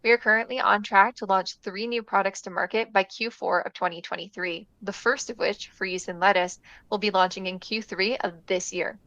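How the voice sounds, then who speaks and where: A woman with a smooth, clear voice delivers a steady, rehearsed startup pitch. The sound is dry and a little dull, like a remote recording. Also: a little fast, few pauses, dry recording. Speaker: startup CEO presenting. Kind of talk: scripted pitch read-out.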